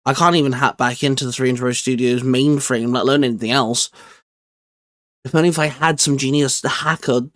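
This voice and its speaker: A man's voice: mid-range voice, steady tone, brisk, a bit bright. A man hosting casually, talking in an informal, hesitant, improvised way. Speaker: YouTube channel host. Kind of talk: casual, improvised skit and chat.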